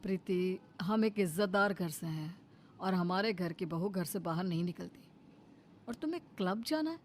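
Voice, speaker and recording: A woman's voice: lowish voice, lively intonation, normal speed, slight background noise, natural voice. A woman voicing a tired, dismissive young husband in a cartoon story, speaking in an exasperated, defensive manner. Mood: stern.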